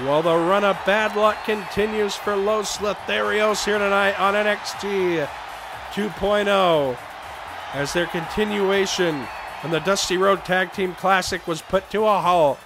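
A man losing his voice, shouting excited play-by-play for a wrestling video game. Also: high voice, unhurried, creaky, music in the background. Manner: shouting excitedly over the action. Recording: game crowd noise behind voice. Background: video game arena crowd and sound effects.

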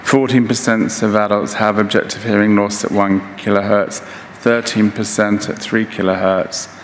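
Older guy in his 60s doing a keynote talk, kinda halting, big reverby hall, bit of noise. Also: on the low side, level tone. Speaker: keynote speaker. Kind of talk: conference lecture. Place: large conference hall stage, indoors.

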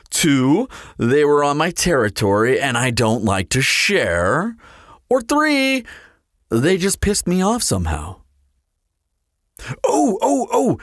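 A man's voice: mid-range voice, no echo, clean studio sound. A man playing a teasing, flirtatious immortal vampire in an audio roleplay, with a playful, sardonic, theatrical drawl. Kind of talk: scripted audio roleplay acting.

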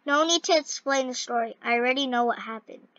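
A young female gamer with a scratchy voice, commenting on a game and reading its dialogue aloud in an excitable, halting way. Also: high voice, dry recording. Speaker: gaming video host. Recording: dull, lo-fi close microphone.

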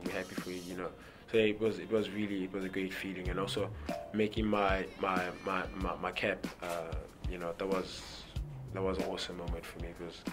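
Deep-voiced young South African man. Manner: relaxed, repeats words, many "you know" fillers. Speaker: rugby player. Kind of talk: interview answers. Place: indoor press backdrop.

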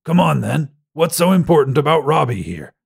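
A man's voice: fairly high pitch, sing-song, moderate pace, vocal fry, slightly rough, voice pushed up, exaggerated voice. A man voicing an irritated, sceptical father in an audiobook story, with a curt, huffy manner. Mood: irritated, disbelieving. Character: irritated, sceptical, scornful father on a museum visit.

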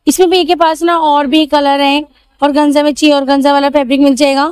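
High-voiced woman touring a street market. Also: flat delivery, a little fast, a little mellow, no echo. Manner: chatty, repeats each price to the viewer. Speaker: shopping vlogger. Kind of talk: market walkthrough vlog.

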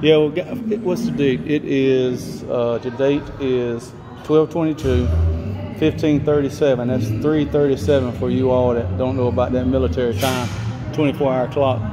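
A man's voice: mid-range voice, little pitch movement, moderate pace. A middle-aged American father giving folksy, casual running commentary to viewers while filming in a gym. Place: large indoor gym. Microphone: handheld camera, held by the speaker. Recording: loud music behind, gym noise. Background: loud music.

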